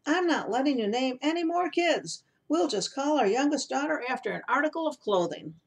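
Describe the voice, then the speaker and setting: Lady doing a fed-up fairy tale dad, indignant and loud, voice pitched way up. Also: animated, moderate pace, vocal fry. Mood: exasperated. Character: exasperated fairy-tale father putting his foot down.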